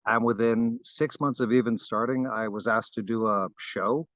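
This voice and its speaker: A man from Barbados speaks as a podcast guest in an unhurried, modest way, often pausing on fillers and restarting his sentences. His voice sits at an ordinary pitch for a man. The sound is dry and a little dull, like a remote call. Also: fairly even intonation, a bit bright, no room sound. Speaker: guest wood carver. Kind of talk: relaxed interview chat.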